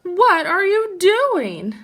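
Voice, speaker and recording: A young storybook bear cub, voiced by a woman, whines and protests indignantly. The voice is high and bright, swooping with pleading emphasis. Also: wildly swooping pitch, extremely slow, exaggerated voice. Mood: alarmed. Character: whiny, protesting young bear cubs, brother and sister. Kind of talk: children's storybook read-aloud.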